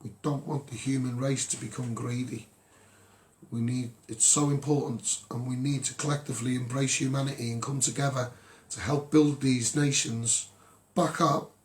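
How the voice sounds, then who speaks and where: Earnest Scouse man addressing his followers. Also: mid-range voice. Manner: earnest, exhortative. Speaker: social media campaigner addressing followers. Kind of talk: impassioned off-the-cuff monologue to followers.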